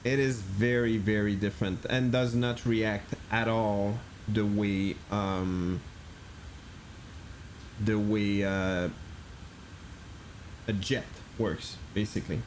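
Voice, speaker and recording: Low-voiced male sim streamer. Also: fairly even intonation, slight background noise, poor audio. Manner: thinks aloud, reads chat, halting with many fillers. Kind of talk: casual live-stream chat.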